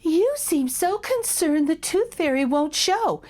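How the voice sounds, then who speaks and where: A woman voicing a calm, reassuring mother shark in a storybook, speaking in a soothing, confident way. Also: very high voice, slow pace, exaggerated voice.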